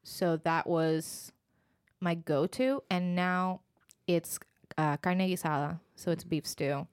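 Young puerto rican woman guest, chatty and laughing, lowish voice, dry close sound. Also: slow, darkish tone, smooth, dry recording. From Puerto Rico. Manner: chatty, laughs often, many fillers. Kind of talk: casual chat.

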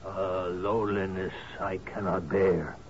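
A man's voice: low voice, level tone. An actor playing a sad, lonely and resolute seafaring man, courteous and formal, in a 1970s radio drama. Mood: mournful resolve. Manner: slow, formal, melancholy. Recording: dull, noisy old radio recording.

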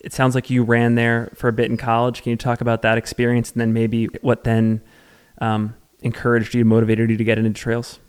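A man's voice: mid-range voice, completely flat delivery, brisk, smooth. A man hosting a podcast interview in a casual, informal, conversational way. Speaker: podcast host.

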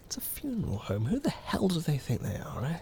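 Scornful undertaker: gravelly, indignant muttering with sharp swings in pitch, audio sitcom. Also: male voice, wildly swooping pitch, fairly quick, slight background noise. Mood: seething. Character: bitter, scornful undertaker ranting to his pet mouse.

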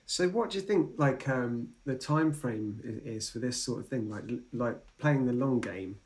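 A man's voice: medium pitch, moderate pace. A man hosting a podcast who asks his guest loose, rambling questions full of fillers. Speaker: podcast interviewer. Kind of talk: casual interview questions.

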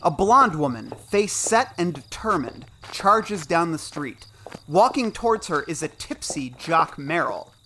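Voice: medium pitch, sing-song, a little slow, sharp tone, slight background noise, low-quality recording. A narrator reading the stage directions of a suspense teleplay as scripted narration.

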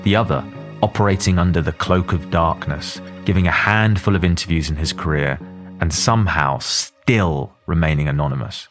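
A man with a southern English accent narrates and interviews in a measured, friendly manner. His voice is on the low side. Faint music plays behind him. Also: a bit bright. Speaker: podcast host and narrator.

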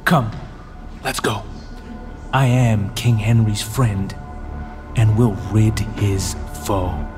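In a long, ringing reverb over music, a conspiratorial knight in a Shakespearean radio drama. He speaks slowly and deliberately, grimly set on murder for his king. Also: lowish voice, fairly even intonation. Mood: grimly resolved. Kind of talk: Shakespearean verse, radio play acting.

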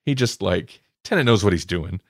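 An animated man talks casually as a podcast co-host. His pitch swings widely and he sounds amused. The sound is dry and close. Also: moderate pace, dry recording. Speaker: podcast co-host reviewing audio dramas. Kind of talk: casual chat.

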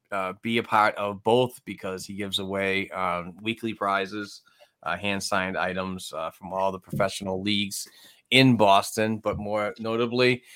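A man hosting a sports podcast in a casual style, with a somewhat halting announcing delivery. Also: deep voice, pushed and bright.

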